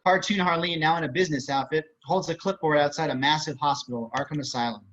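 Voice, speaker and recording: A man's voice: on the high side, quick pace, a bit bright. A man reading stage and screen directions aloud as scripted narration. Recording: muffled online call audio.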